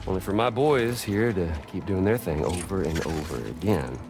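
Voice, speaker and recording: A man's voice: sits low, moderate pace, music in the background. An actor playing a cruel, theatrical male villain who drawls and mocks in a video game cutscene. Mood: menacing. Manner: drawling, mocking, sing-song teasing. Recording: game cutscene with loud score.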